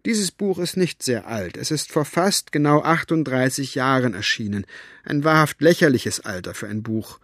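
A man voicing a gruff, sharp-tongued woman book collector who talks brusquely and mockingly. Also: medium pitch, lively intonation, normal speed, no room sound, studio quality.

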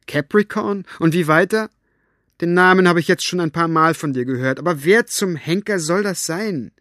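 A man voicing a gruff, sharp-tongued woman book collector who talks brusquely and mockingly. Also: a little high, normal speed, no room sound, clean studio sound, pitched above the performer's own voice, lightly acted.

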